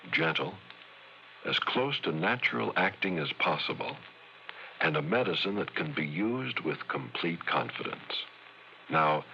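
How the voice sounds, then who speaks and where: A man's voice: rumbling low, creaky, sharp tone. A man with an American accent reading a scripted vintage radio commercial as an announcer. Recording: muffled, noisy old radio recording.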